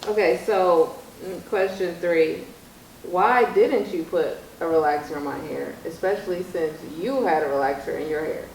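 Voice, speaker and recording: Low-voiced young woman, echoey room. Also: normal speed. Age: young adult. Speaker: vlog host interviewing her mother. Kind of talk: casual question-and-answer chat. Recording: echoey room, distant mic, faint noise.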